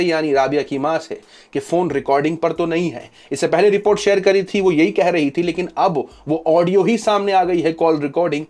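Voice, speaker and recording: A man's voice: fairly high pitch, a little mellow. A man, presenting news commentary to camera in an emphatic, explanatory way. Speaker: host of a news commentary channel.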